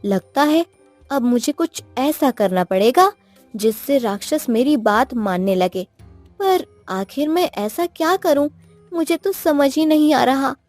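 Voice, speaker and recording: Woman voicing a high-pitched, clever cartoon wife. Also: expressive, unhurried, darkish tone, no room sound. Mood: worried, pondering. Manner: taunting, coaxing. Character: clever, scheming, bold young wife. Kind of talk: cartoon moral story acting. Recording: music behind the voice.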